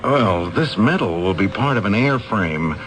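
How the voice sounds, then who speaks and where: Animated, earnest researcher. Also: male voice, fairly low pitch, unhurried, soft background music. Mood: deferential. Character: eager, deferential male metals researcher pitching a discovery. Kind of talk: 1970s radio drama acting.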